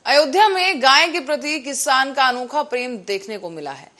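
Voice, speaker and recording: ऊँची आवाज़ वाली महिला समाचार एंकर. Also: female voice, sits high, animated, moderate pace, sharp tone. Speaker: news anchor. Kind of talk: scripted news headlines narration.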